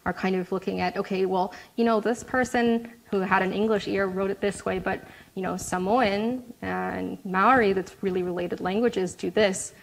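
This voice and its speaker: A woman's voice: medium pitch, fairly even intonation, normal speed, creaky, rough recording. Hesitant woman answering questions. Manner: hesitant, many fillers and restarts. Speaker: speaker answering audience questions. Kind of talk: casual Q&A at a talk.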